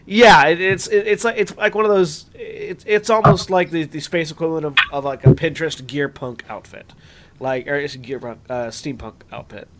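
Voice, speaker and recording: A man's voice: on the high side, moderate pace, slight background noise. A man as game master, describing a scene with many fillers and restarts.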